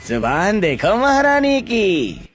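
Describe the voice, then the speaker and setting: A man's voice: sits high, huge pitch swings, takes it slowly, dry recording, pitched above the performer's own voice, heavily acted. A man voicing a rude, bullying temple-goer in a cartoon story, harsh and mocking. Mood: contemptuous. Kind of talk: Hindi cartoon story acting.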